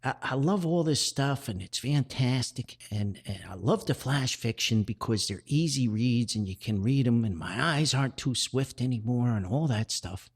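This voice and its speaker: A man's voice: mid-range voice, animated, moderate pace, hardly any pauses, husky. Guy doing his sweet old mom on a podcast, chatty n coaxing, lilts up and down a lot. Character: doting elderly mother, gently bossy and encouraging.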